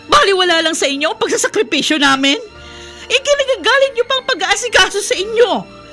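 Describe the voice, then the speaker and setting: A weary, self-sacrificing mother in a melodramatic radio play. Her voice is high and bright, carrying hurt, with faint music behind it. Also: expressive, fairly quick, exaggerated voice. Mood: hurt, tearful. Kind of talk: melodramatic radio drama acting.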